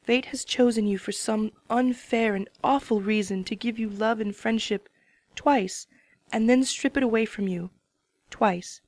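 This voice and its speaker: A woman voicing a solemn female pony princess who speaks in a grave, measured, tearful way, in a dramatic reading. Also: a little high, darkish tone. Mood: sorrowful.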